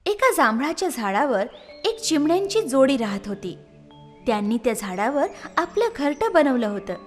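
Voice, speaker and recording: High, lively woman storyteller. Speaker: narrator. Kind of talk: scripted children's story narration.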